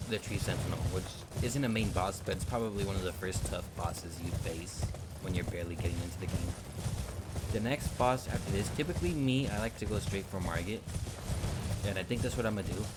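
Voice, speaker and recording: A man's voice: deep voice, fairly quick, very noisy. A man hosting a gaming video, rambling casually with many fillers and restarts as he comments on his play. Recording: loud game sounds and hoofbeats behind.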